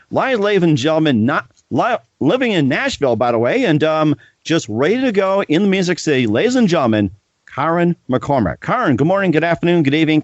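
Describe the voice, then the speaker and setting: A man hosting a podcast, his voice sitting a little high for a man. He reads plugs and introductions in a halting way, stumbling through ums and restarts. The sound is dry and dull, like a lo-fi home recording. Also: no echo. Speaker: podcast host. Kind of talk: casual interview and self-read sponsor spots.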